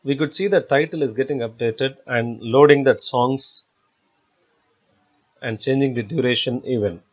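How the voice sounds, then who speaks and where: Husky man narrating a tutorial, muffled sound. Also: mid-range voice, moderate pace. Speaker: software tutorial instructor. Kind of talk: screen-recorded step-by-step tutorial narration. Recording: dull lo-fi voice-over recording.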